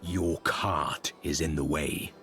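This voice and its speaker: A man's voice: rumbling low, fairly even intonation, takes it slowly, music in the background. An actor playing a stern, stoic male warrior hero in a fantasy game, speaking in terse, solemn, formal phrases. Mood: stern, resolute. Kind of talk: dramatic fantasy game acting.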